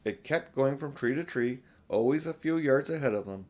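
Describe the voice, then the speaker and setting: Older male narrator, mid pitch, for a children's audiobook read aloud. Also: lively intonation, normal speed, a little mellow. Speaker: audiobook reader.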